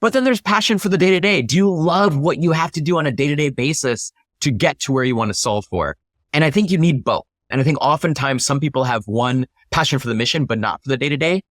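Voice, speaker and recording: A man's voice: a little high, animated, fast, a bit bright, no room sound, studio quality. A man speaking as an interview guest, explaining his thinking in an emphatic, conversational way with rhetorical questions. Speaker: interview guest, startup founder.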